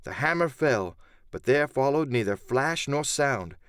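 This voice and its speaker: A man's voice: medium pitch, lively intonation, a little slow, fairly bright tone, clear, resonant tone, no room sound, studio quality. A man narrating an adventure audiobook in the first person, with theatrical, literary storytelling.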